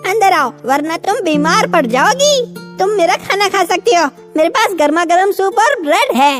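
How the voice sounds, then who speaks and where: A woman voicing a kindly but bossy house mouse in a children's animated fairy tale, speaking in a hospitable, matter-of-fact way. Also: very high voice, normal speed, soft background music. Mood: welcoming. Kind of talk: children's animated story dub.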